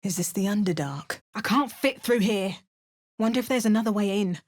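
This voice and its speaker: An actress voicing a sardonic female adventurer in a game, delivering dry, sarcastic quips and calm narration. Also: medium pitch, normal speed, dry recording, lightly acted. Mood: wry. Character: sardonic adult female adventurer, wry and unflappable. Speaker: player character voice actor.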